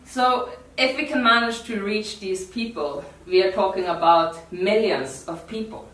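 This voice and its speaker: A woman from Norway with a Norwegian accent gives an informal workshop talk in non-native English, halting and repeating words. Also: medium pitch, a bit bright, a little hiss or hum. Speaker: activist workshop speaker. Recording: echoey room, distant mic.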